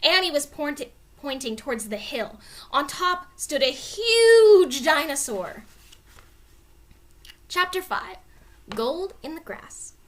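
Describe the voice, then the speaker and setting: Casting: female storybook narrator, very lively melodic delivery, high voice, expressive and dramatized. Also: slow, long pauses, slight background noise. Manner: expressive, clearly dramatized reading. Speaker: storybook reader. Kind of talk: animated children's read-aloud narration.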